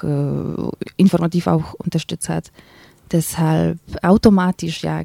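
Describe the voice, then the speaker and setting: A woman's voice: deep voice, creaky, mellow, no room sound. A woman from Poland with a Polish accent, a festival organizer being interviewed, speaking hesitantly with many fillers. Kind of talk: radio interview.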